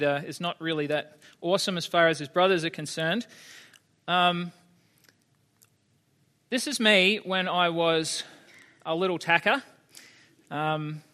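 Brief: Australian male preacher, thirties, high bright voice, chatty and questioning with the congregation. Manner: conversational, asks the congregation questions. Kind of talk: sermon.

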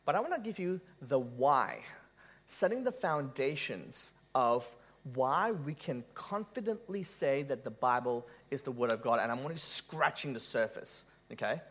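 A man's voice: mid-range voice, expressive, a little slow, fairly bright tone. A man who grew up in Malaysia, preaching a conversational sermon to a live audience, joking and putting questions to the room.

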